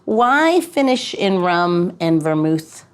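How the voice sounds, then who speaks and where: Lower-pitched woman hosting a casual chat. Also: sing-song, takes it slowly, smooth. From American Midwest. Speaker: podcast host.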